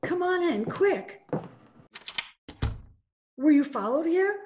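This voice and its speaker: A jittery, streetwise gangster's girlfriend, played by a woman in a radio crime play. She speaks high, in slangy, pleading lines, recorded from home over a video call. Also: sing-song, slow, darkish tone, a little hiss or hum, full character voice. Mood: frightened. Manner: breathless pleading, slangy "gee" and "ya". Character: frightened young gangster's moll, pleading and streetwise. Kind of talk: old-time radio play acting.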